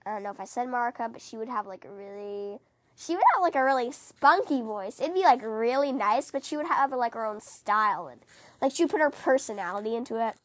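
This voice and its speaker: A woman hosting a casual book-fan podcast, chatting in a rambling, animated, giggly way, her voice scratchy from a cold. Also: a little high, fairly quick, no echo. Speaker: podcast host.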